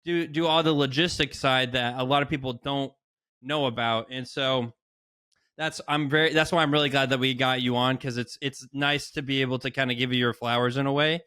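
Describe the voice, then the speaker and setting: Bright, clear-voiced man, casual podcaster. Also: medium pitch, steady tone, fairly quick, no echo, clean studio sound. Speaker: podcast co-host, interviewer.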